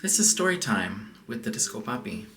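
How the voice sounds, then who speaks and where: A man's voice: mid-range voice, big pitch swings, normal speed, pushed and bright. American man chatting in an echoey room. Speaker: house music producer and DJ hosting a vlog. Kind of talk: casual chat, personal storytelling. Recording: roomy, distant mic with background noise.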